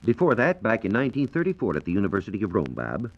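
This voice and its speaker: An American man explains science in a formal, scripted 1940s radio manner. His voice is fairly low, with lively intonation. The vintage recording is dull and lo-fi. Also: fast. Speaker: science reporter. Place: radio studio.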